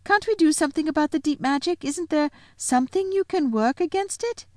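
A woman voicing an anxious young girl in a fantasy story, hesitant and pleading in a hushed way. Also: high-pitched, animated, brisk, dry recording, clean studio sound, voice pushed up. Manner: hesitant, whispering, beseeching. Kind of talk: audiobook fantasy character voice.

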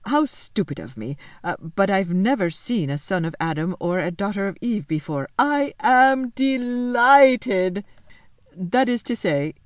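A woman voicing a polite, fussy, slightly melancholy male faun who speaks slowly and formally. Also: mid-range voice, lively intonation, no room sound, clean studio sound, close to an everyday voice. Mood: courteous, curious. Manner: courteous, slow and formal.

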